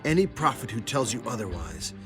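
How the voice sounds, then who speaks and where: Man playing stern prophet, solemn declamation, animated pitch, children's Bible drama, music behind. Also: brisk, natural voice. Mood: urgent, solemn. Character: stern, impassioned male prophet warning his people.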